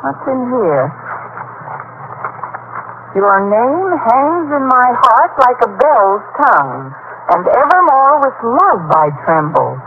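An old, muffled radio recording with faint noise carries a wisecracking woman schoolteacher. Her delivery is dry and sardonic, yet her pitch moves in lively swoops. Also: takes it slowly, heavily acted. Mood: surprised, pleased. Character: wry, wisecracking woman high school teacher. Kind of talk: old-time radio comedy acting.

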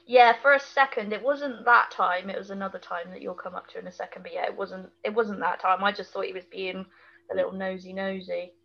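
A woman's voice: mid-range voice, steady tone, fairly quick, creaky, lo-fi sound. A British woman co-hosting in casual banter, with a playful, teasing manner. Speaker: podcast co-host. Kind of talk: casual banter, reacting to an episode recap.

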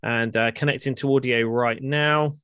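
Bright-voiced male podcast host. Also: mid-range voice, normal speed, clear, resonant tone, no room sound, studio quality. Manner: relaxed, says uh and um a lot while running the stream. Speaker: podcast host taking calls. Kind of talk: casual chat.

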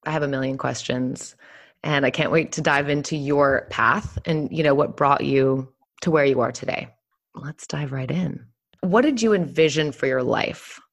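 A woman's voice: a bass voice, normal speed, creaky. A young woman hosting an interview podcast, enthusiastic and swearing casually as she introduces her guest. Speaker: podcast host.

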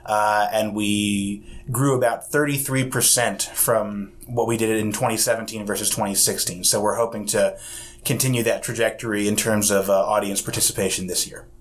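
A man with a fairly low voice talks about the arts festival he directs. He explains things at length in an easygoing, affable way, dotting his answers with "uh" and restarts. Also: steady tone, moderate pace. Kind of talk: casual interview answers.

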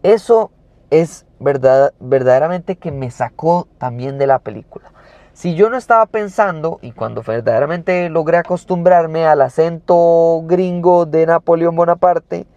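Young Costa Rican man, film reviewer, pointed and emphatic, voice sits a bit high. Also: unhurried, warm tone, no echo, muffled recording. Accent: Costa Rican Spanish. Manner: emphatic, rhetorical questions to the viewer.

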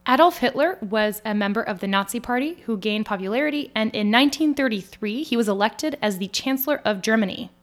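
A woman raised in France co-hosting a history podcast, giving clear, steady scripted narration in an explanatory tone. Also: on the high side, fairly quick, few pauses, a little hiss or hum. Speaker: podcast co-host.

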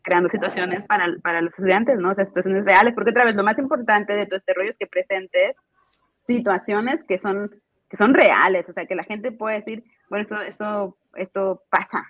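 Woman guest, thoughtful and halting explainer, recorded dull and dry. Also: medium pitch, fairly bright tone, husky, no echo. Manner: hesitant, many fillers and restarts, explanatory. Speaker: instructional designer guest. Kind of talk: casual interview answers.

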